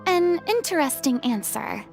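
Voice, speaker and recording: A proud young stage star from a video game. Her voice is high with a creaky edge, and she speaks in grand, formal, theatrical phrases, with music playing underneath. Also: very animated, a little slow, exaggerated voice. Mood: earnest, reflective. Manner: dramatic, grandiloquent, formal diction. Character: theatrical, proud, refined young woman, a former opera star.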